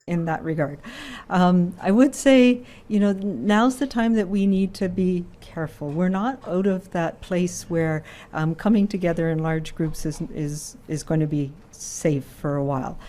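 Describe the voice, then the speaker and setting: A woman health official speaks in a mellow, fairly low voice. She chooses her words carefully and pauses often with soft fillers. Her tone stays calm and gentle throughout the clip. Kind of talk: press briefing remarks.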